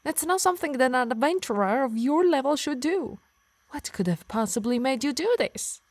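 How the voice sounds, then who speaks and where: A woman's voice: high voice, lively intonation, normal speed, darkish tone, no echo. A woman playing a possessive, teasing harpy healer who scolds fondly in an ASMR roleplay. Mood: alarmed, scolding. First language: Italian.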